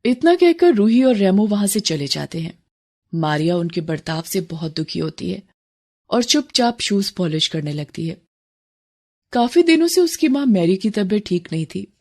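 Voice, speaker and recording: A woman's voice: on the low side, darkish tone. A woman narrator reading a scripted moral story for children.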